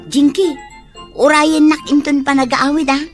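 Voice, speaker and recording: A woman plays a chatty young coworker in a radio play, friendly and high-voiced. Faint music sits behind her. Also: level tone, measured pace. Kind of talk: radio drama acting.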